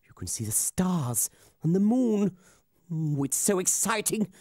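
A woman playing a bossy, excitable male inventor obsessed with space in a children's puppet comedy. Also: fairly low pitch, measured pace. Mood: excited. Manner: bossy, exclaiming, calls others idiots.

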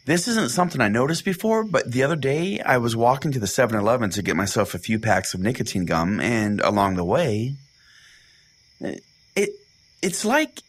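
A man's voice: mid-range voice, sing-song, normal speed, slightly rough. A man hosting a comedy show as a mock-serious, rambling pastor. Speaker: host playing a pastor of a comedy call-in show. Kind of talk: comedy podcast monologue and banter.

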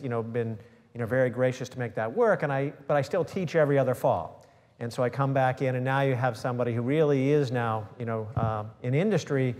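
A middle-aged man explains things steadily on a panel, leaning on "you know" as he goes. He sits on an auditorium stage with a lapel mic. His voice is mid-pitched and carries a long hall reverb. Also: a little mellow. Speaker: tech company CEO and professor on panel. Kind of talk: panel discussion. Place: large auditorium stage, seated.

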